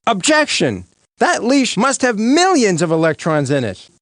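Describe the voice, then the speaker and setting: A man's voice: high-pitched, huge pitch swings, unhurried, a bit bright, no room sound, studio quality, heavily acted. A man voicing a blustering cartoon lawyer who sounds pompous but easily flustered. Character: blustering, nervous male opposing lawyer.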